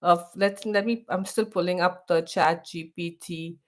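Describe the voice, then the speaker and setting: A woman's voice: on the low side, fairly even intonation, a little slow. A middle-aged woman from India with an Indian accent, hosting a casual live stream for expats, chatty, often restarting her sentences and reading text aloud.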